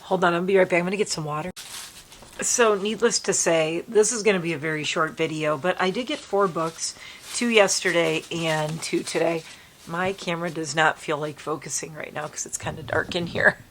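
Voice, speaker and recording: A woman chatting casually to camera from her bed, hoarse and stuffy from allergies, coughing now and then, rambling and apologetic. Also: on the low side, brisk, a bit bright. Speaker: vlogger. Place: bedroom at home, dim light. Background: crinkling dog toy, faint noise.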